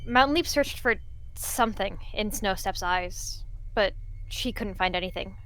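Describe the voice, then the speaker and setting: Young woman, roleplay narrator, middle pitch, gentle and reflective, faint music behind. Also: a little slow, poor audio. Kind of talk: narrating a cat roleplay story.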